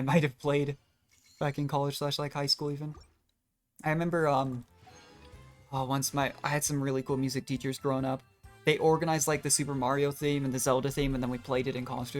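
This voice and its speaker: A young man giving casual, rambling live commentary as a game streamer. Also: mid-range voice. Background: game music.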